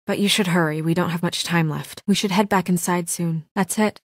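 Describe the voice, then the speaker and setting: A composed, earnest young woman played by an actress in a story-driven video game. Her voice sits slightly low and is captured very dry. She speaks with guarded, dutiful care, halting a little as worry shows through. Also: steady tone, dry recording, lightly acted. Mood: guarded, serious. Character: composed, earnest young female office administrator, anxious and dutiful. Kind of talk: naturalistic video game drama acting.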